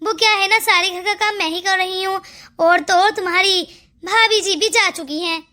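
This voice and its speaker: A woman's voice: very high voice, moderate pace, sharp tone. A woman voicing a selfish, scheming young daughter-in-law in a Hindi cartoon story, with a glib, dismissive way of talking. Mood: glib, reassuring.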